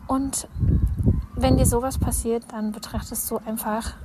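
A woman's voice: a little high, fairly even intonation, a little slow. A woman in her thirties telling a personal story in a voice message, hesitant, with many fillers. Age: 30s. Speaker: caller sending a voice message. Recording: loud background noise.

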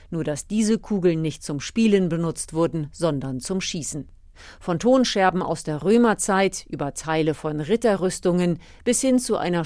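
A woman narrates a radio feature in a fairly low voice. Her delivery is scripted documentary storytelling, recorded close and dry with very little room sound. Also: a little mellow, no room sound.

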